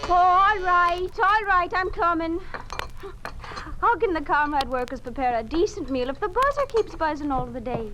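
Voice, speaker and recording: High-voiced, cheeky Irish housekeeper. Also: female voice, animated, normal speed. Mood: flustered, chatty. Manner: folksy, lilting, chatty. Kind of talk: 1950s radio drama acting. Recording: noisy old 1950s radio recording.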